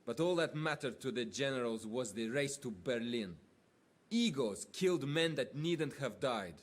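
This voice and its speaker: A man's voice: mid-range voice, animated, moderate pace, lightly acted. A man acting as a weary Soviet war veteran, giving somber, bitter first-person narration in a video game.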